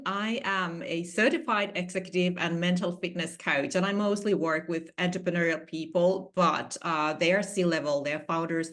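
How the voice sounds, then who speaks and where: A woman with a Finnish accent explains her coaching work over a video call, her voice sitting on the low side. She talks in a measured, careful way, with phrasing that now and then shows English is not her first language. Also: a bit bright. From Finland. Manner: measured, explanatory, slightly non-native phrasing. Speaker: executive coach guest. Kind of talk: interview answers.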